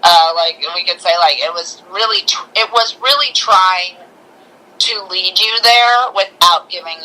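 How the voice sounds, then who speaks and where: A woman co-hosting a casual review chat, speaking informally and haltingly with open enthusiasm. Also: medium pitch, sharp tone, rough recording. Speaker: podcast co-host reviewing films.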